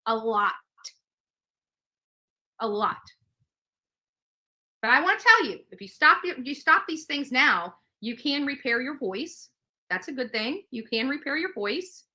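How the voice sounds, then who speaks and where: A woman's voice: high-pitched, lively intonation, unhurried, long pauses, sharp tone. A woman livestreamer delivering a combative, emphatic rant to her viewers, repeating phrases for stress. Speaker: livestream host.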